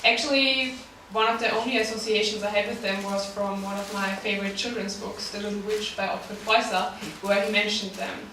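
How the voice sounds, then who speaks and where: A woman's voice: medium pitch, little pitch movement, fairly quick, few pauses, pushed and bright, a little hiss or hum. A young woman with an Austrian accent, speaking German as her first language, gives a short prepared talk as a staff writer, earnest and dotted with ums. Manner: earnest with light laughs and many ums. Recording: echoey room, voice from a distance.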